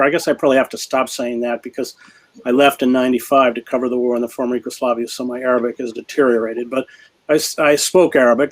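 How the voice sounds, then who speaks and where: A man of middle pitch talks through a serious argument at length. He often pauses and restarts mid-thought, with many filler sounds. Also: rough recording. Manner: grave, measured argument. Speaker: journalist guest. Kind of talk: interview answer.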